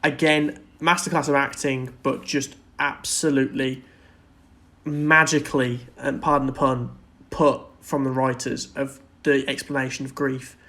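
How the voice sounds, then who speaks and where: Male podcast co-host, mid-pitched, casual and enthusiastic discussion, loosely phrased. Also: flat delivery, unhurried, pushed and bright.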